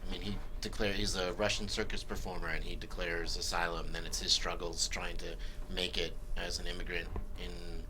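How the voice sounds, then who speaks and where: A man's voice: low voice, level tone, brisk, background noise. A man talking casually as a guest writer in a panel discussion, often restarting his sentences. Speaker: screenwriter guest.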